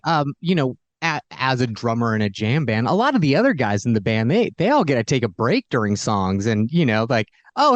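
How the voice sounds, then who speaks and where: A man's voice: a little high, dry recording. A man co-hosting a casual, chatty interview with a guest.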